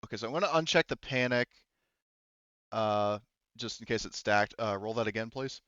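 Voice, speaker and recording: A man's voice: lowish voice, normal speed, a bit bright, clear, resonant tone, no echo, lo-fi sound. A man acting as game master of a casual tabletop game, explaining rules and thinking aloud as he goes.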